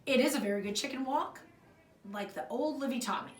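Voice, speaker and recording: A cheerful, cocksure little storybook creature voiced by a woman, matter-of-fact and pitched high. The sound is roomy, slightly away from the mic. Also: brisk, a little hiss or hum, lightly acted. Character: small green mystery creature, cheerful, cocksure. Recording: roomy echo, distant mic.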